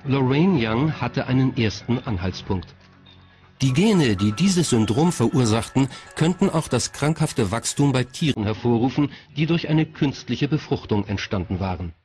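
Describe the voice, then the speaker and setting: A man's voice: medium pitch, animated, normal speed, darkish tone, soft background music. A man reading scripted documentary narration in the role of narrator.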